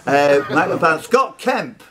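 A man's voice: a little high, sing-song, slow, a bit bright, a little hiss or hum. A man in his fifties hosting a live pub quiz, reading out questions with jokey, teasing banter. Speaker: quiz master. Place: social club bar room.

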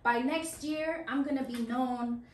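A woman's voice: high voice, measured pace, far from the mic. A young woman from Washington, D.C., talking as a guest in a casual interview, telling stories with lots of "you know"s. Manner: animated storyteller, many "you know"s. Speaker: guest musician. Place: small office meeting room, indoors. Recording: roomy sound from off-mic, faint hum.

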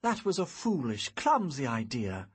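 A man's voice: medium pitch, wildly swooping pitch, measured pace, slightly rough, full character voice. A man playing an earnest young wizard with a theatrical streak in an audiobook story, speaking emphatically and dramatically. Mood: apologetic.

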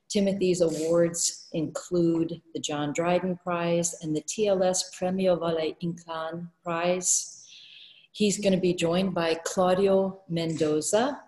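A woman's voice: lowish voice, dark tone, poor audio. A middle-aged woman introducing performers as emcee of an online arts event. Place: renovated art studio, on video call.

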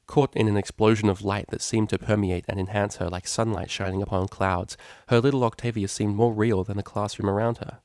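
A man narrates a written story as an audiobook reader. His voice sits on the low side, and the recording is dry with little room sound. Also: fairly even intonation, fairly quick, few pauses, darkish tone, no room sound.

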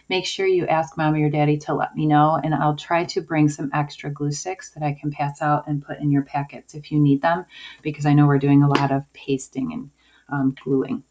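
A woman teacher giving a video lesson to young children in simple, deliberate phrases. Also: sits low, darkish tone. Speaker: early-years school teacher. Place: indoors at a table, homemade video. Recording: dull lo-fi sound, clear noise.

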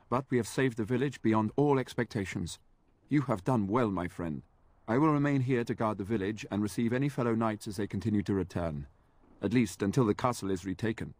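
An actor playing a solemn, courteous knight who speaks in a formal, measured way. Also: male voice, fairly low pitch, darkish tone, dry recording, lightly acted. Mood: solemn, grateful.

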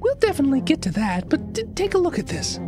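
Woman as a high-pitched, precocious little girl. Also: wildly swooping pitch, quick pace, music in the background. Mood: eager, matter-of-fact. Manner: pedantic, formal, articulate. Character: precocious, bookish little girl, coolly inquisitive. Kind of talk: acted audio story dialogue.

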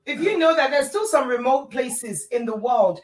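A woman in her fifties, a pastor, answering earnestly and softly from home over a video call. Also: sits high, lively intonation, normal speed. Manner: earnest, soft, backchannels often. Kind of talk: answering questions in an interview. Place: home room, indoors. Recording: echoey room, far from mic.

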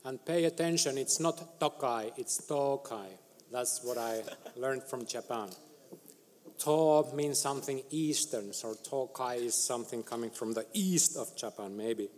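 A middle-aged man chairing a medical conference session, introducing speakers with many hesitant pauses. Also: medium pitch, darkish tone. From Finland. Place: large conference hall stage. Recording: reverberant hall.

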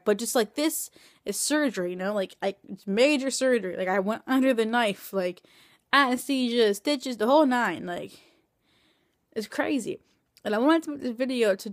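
A woman of twenty talking into a phone camera at home at night, tired and in pain after surgery, rambling with many halting restarts. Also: a little high, fairly bright tone, dry recording, studio quality. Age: 20s. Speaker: vlogger. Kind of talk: casual video diary. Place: small room indoors at night. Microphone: phone camera held close.

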